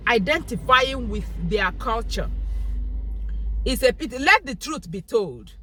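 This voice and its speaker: A woman's voice: high voice, pushed and bright, dry recording, poor audio. A young woman with a Nigerian Igbo accent talking straight to camera in an earnest, pleading vlog monologue. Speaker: vlogger.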